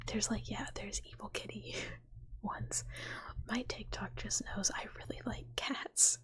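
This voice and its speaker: A woman livestreamer chatting with viewers in a playful, cutesy ASMR style, in a breathy whisper. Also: mid-range voice, takes it slowly, long pauses, a bit bright, rough recording.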